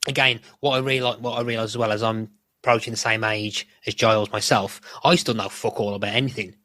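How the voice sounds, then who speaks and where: A man's voice: lowish voice, fairly even intonation, fast, bright, forceful tone, no room sound. A middle-aged man, chatting casually as a podcast co-host in a rambling, self-correcting way. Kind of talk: casual chat about a TV show.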